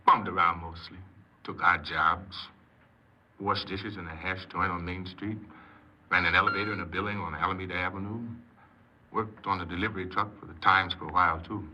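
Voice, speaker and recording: Actor as a low-voiced, glum American ex-convict. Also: male voice, close to an everyday voice. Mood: glum, resentful. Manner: plain-spoken, clipped, glum. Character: bitter, downcast young male ex-convict confessing his past. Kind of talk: 1950s American radio drama narration, conversational. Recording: noisy, muffled 1950s radio recording.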